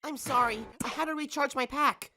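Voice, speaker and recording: A woman's voice: high voice, moderate pace, pushed and bright, a little hiss or hum. A woman voicing a timid, obedient young boy sidekick in a comic dub, hesitant and deferential, prone to stammering. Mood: meek, apologetic. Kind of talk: comic dub cartoon acting.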